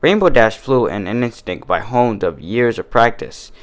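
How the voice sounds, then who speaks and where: A man's voice: lowish voice, animated, moderate pace. A man reading a comic fan fiction story aloud as its narrator.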